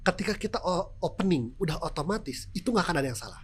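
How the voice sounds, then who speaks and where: A man's voice: fairly high pitch, animated, fast talker, breathy edge. A man with a Sundanese accent, a native Sundanese speaker, explaining his work as a presenter in casual interview talk with acted-out examples. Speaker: television news presenter, podcast guest.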